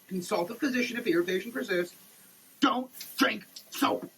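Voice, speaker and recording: A man giving a comedic dramatic reading of a product label, theatrical and over-emphatic, with halting delivery. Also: high-pitched, gravelly, bright, forceful tone, slight background noise, rough recording. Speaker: comedic performer.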